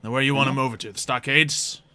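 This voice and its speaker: A brisk town guard, played by a man running a tabletop game, suspicious yet polite. The voice is clean and bright at an ordinary male pitch. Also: steady tone. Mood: brisk, helpful.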